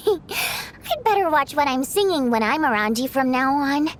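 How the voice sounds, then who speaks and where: A woman voicing a playful female water imp stage performer in a game, lively and teasing. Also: high-pitched, a little hiss or hum. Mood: curious. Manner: lively, teasing, quick to protest. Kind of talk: video game voice acting.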